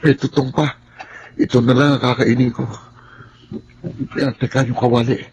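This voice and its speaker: A man's voice: medium pitch, little pitch movement, moderate pace, noisy background, close to an everyday voice. An actor playing a mistreated, weary poor boy in a Filipino radio drama, plaintive and earnest. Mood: hungry, weary. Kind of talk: Filipino radio drama acting and first-person narration. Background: music.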